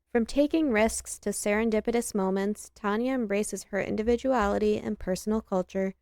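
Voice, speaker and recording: A woman's voice: mid-range voice, smooth, no echo, studio quality. A woman reading a scripted podcast introduction in a polished, upbeat announcer manner.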